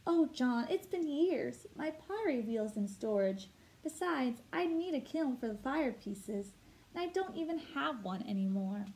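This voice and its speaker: An earnest young mother in a children's story, read by a woman. She speaks hesitantly in a fairly high voice. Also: animated, measured pace, darkish tone, rough recording. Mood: doubtful.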